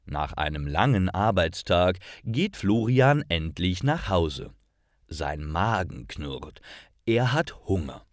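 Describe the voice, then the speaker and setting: A man reading simple stories aloud in a clear, careful narration for language learners. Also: low-pitched, very animated, takes it slowly, no room sound, clean studio sound. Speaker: storyteller for German learners. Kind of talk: scripted slow narration.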